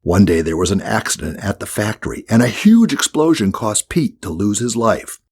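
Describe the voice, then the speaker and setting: A man's voice: fairly low pitch, lively intonation, normal speed, creaky, darkish tone, slightly rough. A man reading a scripted children's audiobook as the narrator.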